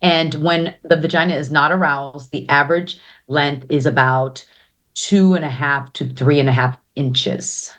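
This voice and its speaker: A woman doctor explains as a guest in a very low, steady voice. She is confident and direct, and often pauses to check that the listener follows. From United States. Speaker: urologist guest. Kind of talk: expert explanation in interview.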